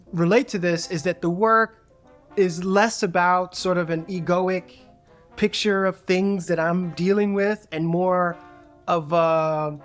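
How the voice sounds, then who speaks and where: A podcast host speaking reflectively in an interview, pausing and restarting often. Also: mid-range voice, fairly bright tone. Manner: reflective, hesitant, many fillers and restarts. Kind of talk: conversational interview.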